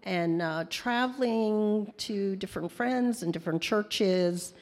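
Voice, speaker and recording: A middle-aged or older woman speaks informally to a church congregation in a halting, unscripted way. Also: mid-range voice, a little mellow. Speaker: church member introducing a guest speaker. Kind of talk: informal spoken introduction and prayer. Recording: echoey hall with long reverb.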